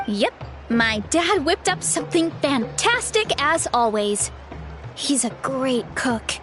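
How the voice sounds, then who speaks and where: A woman's voice: high voice, big pitch swings, slow pace, exaggerated voice. A woman voicing an upbeat, friendly, easygoing young warrior girl in an anime video game. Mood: cheerful, chatty. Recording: music behind the voice.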